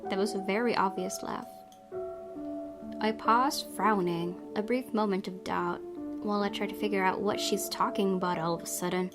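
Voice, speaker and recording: A woman's voice: medium pitch, steady tone, normal speed. A woman hosting a gaming video, reading story text aloud expressively with casual, informal reactions. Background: game music.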